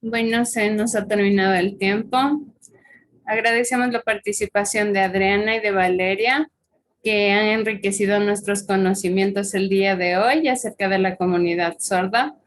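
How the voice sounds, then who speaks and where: Woman moderator, mid pitch, formal and courteous closing remarks over a video call. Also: steady tone, moderate pace, a little hiss or hum. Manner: courteous, orderly thanks.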